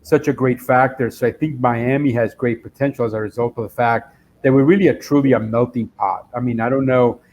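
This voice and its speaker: Male panelist, eager, stumbling restarts, mid pitch, slight background hiss. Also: fairly even intonation, a little fast, darkish tone. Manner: restarts often, enthusiastic. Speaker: investor panelist. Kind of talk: panel discussion.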